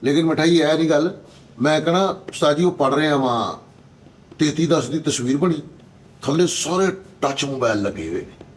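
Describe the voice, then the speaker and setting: Slightly high-voiced Punjabi man. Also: lively intonation, moderate pace. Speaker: talk show panelist. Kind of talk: casual comedic chat.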